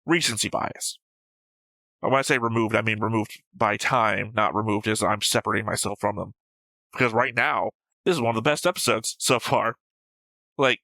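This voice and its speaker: A man's voice: on the low side, creaky, bright, forceful tone, no echo, poor audio. A man co-hosting a reaction show, talking through his opinions in casual, argumentative discussion. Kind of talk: casual discussion and episode commentary.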